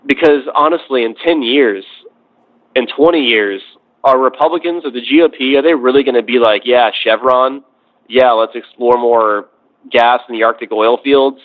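Through a thin, phone-quality connection, a man of medium pitch offers political commentary in conversation. He is reflective and measured, laying out each point one phrase at a time. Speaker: podcast discussion guest. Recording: tinny phone-like line.

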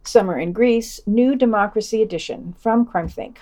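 A woman's voice: mid-range voice, moderate pace, mellow. Woman reading scripted news summaries. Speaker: podcast news reader.

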